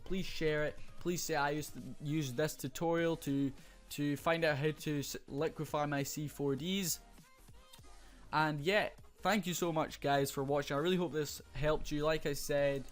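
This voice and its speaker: Slightly hoarse young man explaining casually. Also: on the high side. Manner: casual, explanatory, many "uh" fillers. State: slightly hoarse, losing his voice. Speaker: graphics tutorial creator. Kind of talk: screen-recorded software tutorial.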